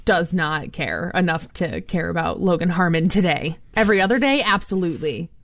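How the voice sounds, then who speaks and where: A woman's voice: lowish voice, animated, fairly bright tone. A woman playing a tabletop game, chatting casually and laughing often. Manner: laughs often, quick asides. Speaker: player. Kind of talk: casual in-character banter.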